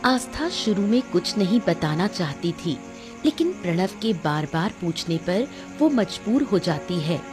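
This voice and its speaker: A woman's voice: lowish voice, sing-song, unhurried. A woman narrator reading a scripted cartoon story. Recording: music under the voice.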